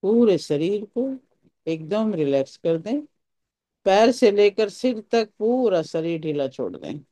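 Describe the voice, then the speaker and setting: Slow, mellow meditation teacher with soft-edged tone. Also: mid-range voice, dry recording. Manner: calm, soothing instructions in short pausing phrases. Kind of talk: guided meditation instruction.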